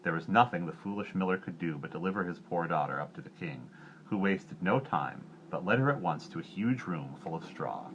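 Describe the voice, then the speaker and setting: A man reading a fairy tale aloud as an expressive storyteller. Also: sits low, a little fast, highs cut off, slight background noise.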